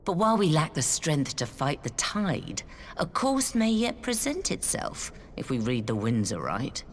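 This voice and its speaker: An actress playing a shrewd, wary military leader in a video game cutscene. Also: female voice, low-pitched, huge pitch swings, a little slow, exaggerated voice. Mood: wary, calculating. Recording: long hall reverb, faint background noise.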